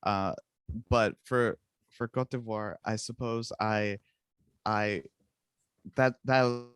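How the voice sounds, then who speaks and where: A man's voice: on the low side, steady tone, slow, a bit bright, clear, resonant tone, dry recording. A young man whose first language is Czech, chatting casually as a guest musician, rambling and joking at his own expense. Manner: rambling, self-mocking, laughs often. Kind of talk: casual interview chat.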